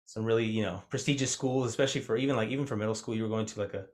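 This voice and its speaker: A man's voice: lowish voice, fairly even intonation, racing, a little mellow. A man hosting a casual podcast interview, a Spanish teacher whose first language was Spanish, talking in a rambling way full of fillers and restarts. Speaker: podcast host.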